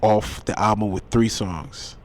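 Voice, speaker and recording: A man who is a guest musician, chatting casually in an interview, animated and repeating phrases for emphasis. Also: on the low side, measured pace. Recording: faint background noise.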